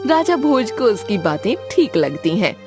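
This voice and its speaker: A woman narrating a cartoon folk tale in a clear storybook manner. Her highish voice moves expressively, with music beneath her. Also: big pitch swings, normal speed. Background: background music.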